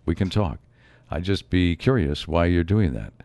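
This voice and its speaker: A man hosting his own show in a rambling solo monologue, his voice a bit raspy from a slight cold. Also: low-pitched, animated, moderate pace, a little mellow, dry recording. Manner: rambling, musing, frequent asides. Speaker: show host.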